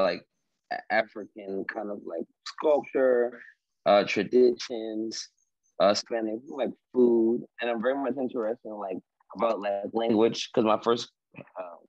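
A young man with a lowish voice, first language Haitian Creole, talks slowly through his art with plenty of fillers. He is heard over a dry, dull video call line from a room at home. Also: fairly even intonation, a little mellow, no echo. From Washington, D.C. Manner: halting, many fillers. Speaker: artist. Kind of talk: video call artist talk. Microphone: laptop video call.

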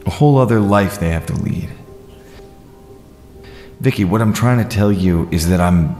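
A man acts an earnest, troubled man in an audio drama. His voice is very low, in an echoey room with faint music behind. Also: normal speed, a little mellow. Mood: earnest, hesitant. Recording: roomy echo, faint music.

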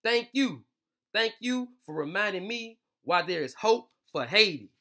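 Young man reading as a kind older man, high bright voice. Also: big pitch swings, measured pace, exaggerated voice. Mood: earnest, encouraging. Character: kind older Haitian man, encouraging children.

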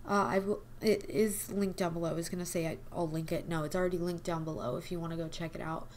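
A young woman hosting a casual game video, her voice hoarse and quiet from a cold, rambling with lots of fillers. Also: fairly low pitch, fairly even intonation, quick pace, a little hiss or hum. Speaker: gaming channel host. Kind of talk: casual chat over gameplay. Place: home desk at night.

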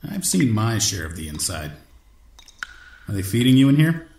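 Echo and faint noise surround a man acting as a wry, loyal male confidant in a radio drama. His lowish voice is measured and slightly formal, a cautious voice of reason. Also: very animated, gravelly, darkish tone. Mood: wry, concerned. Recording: echoey, faint background noise.

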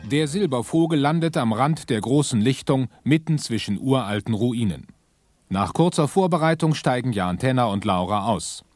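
A man's voice: mid-range voice, expressive, normal speed, a little mellow, soft background music. A man narrating a science fiction radio play from a script.

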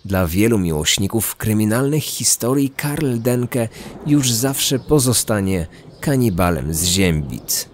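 A man's voice: fairly low pitch, animated, takes it slowly, a little hiss or hum. A man reading scripted true-crime narration as the narrator of a podcast. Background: background music.

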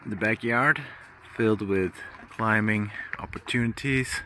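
Man vlogging outdoors, low voice. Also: a little slow, slight background noise. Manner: relaxed, chatty, with fillers and restarts. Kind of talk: casual travel vlog narration. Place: outdoors on a hillside farm. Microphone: phone at arm's length.

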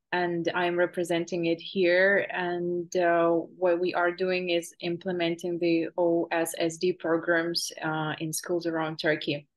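Flat, low-voiced woman from Russia. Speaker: English teacher. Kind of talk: casual self-introduction. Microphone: video call.

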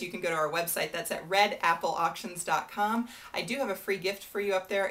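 Woman, 30s, American auctioneer; casual, friendly to-camera tips, small indoor room, mid-pitch voice. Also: fairly bright tone. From United States. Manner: friendly, chatty, gestures with her hands. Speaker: benefit auctioneer giving advice. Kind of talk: casual to-camera advice talk.

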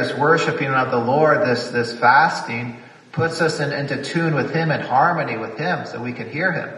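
Priest preaching in an echoey chapel. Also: male voice, mid-range voice, sharp tone, distant mic. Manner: reflective, repeats phrases for emphasis. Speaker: priest giving a retreat homily. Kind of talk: homily.

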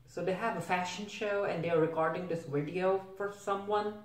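A man's voice: high-pitched, fairly even intonation, moderate pace. A young man giving casual, bemused reaction commentary, asking questions and repeating himself as he goes. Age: young adult. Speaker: reviewer reacting to a cartoon. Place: small home room with a desk. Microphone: camera a few feet away. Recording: roomy, distant microphone.

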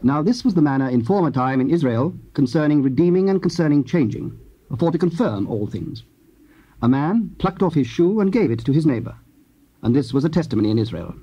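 A man giving measured, solemn scripted scripture narration. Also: on the high side, expressive, warm tone, slightly rough. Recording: dull old cassette tape.

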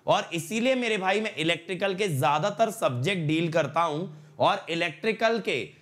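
A man's voice: sits high, moderate pace. A young man with a sore throat, hosting an online exam-prep class, mixing Hindi with English and repeating his points with energy. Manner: repetitive, energetic, coaxing viewers with "my brother". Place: studio in front of a slide screen.

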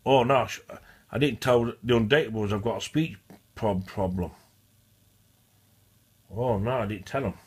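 A man speaks in a fairly low voice, rambling casually to his viewers. He stutters, restarts often and circles back over the same phrases. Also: a little slow, pauses often, husky. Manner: repetitive, restarts and repeats phrases. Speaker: vlogger. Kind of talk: casual rambling monologue to camera.

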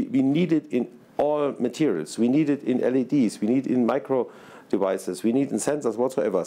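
A man's voice: mid-range voice, warm tone. Earnest German-accented older man on a panel. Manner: earnest, repetitive, searching for words. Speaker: research institute president, panelist. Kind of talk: panel discussion remarks. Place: large conference stage before an audience. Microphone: lapel mic.

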